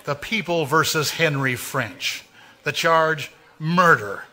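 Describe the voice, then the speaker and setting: A man's voice: fairly high pitch, lively intonation, takes it slowly, pushed and bright, heavily acted. A man playing an ominous narrator of a 1940s radio mystery, speaking to the listener in an insinuating way. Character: ominous male narrator who knows dark secrets.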